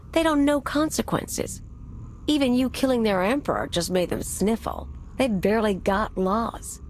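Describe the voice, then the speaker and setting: A woman's voice: mid-range voice, a little hiss or hum. An actress playing a brash, cynical female anarchist bomber in a video game cutscene, talking in a clipped, tough, sardonic way. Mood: cynical, defiant.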